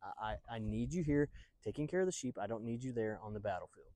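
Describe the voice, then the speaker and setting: A man's voice: mid-range voice, animated, fast talker, warm tone, no room sound, natural voice. A man voicing a concerned father musing and giving repetitive instructions in a Bible story. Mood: instructing. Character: fretting father of soldiers, giving orders to son.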